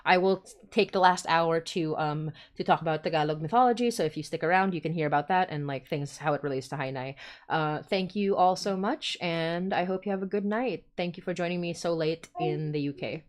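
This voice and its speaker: A woman's voice: fairly low pitch, a little fast. A woman hosting a casual livestream, chatting informally and often interrupting herself as she goes. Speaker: podcast host and creator.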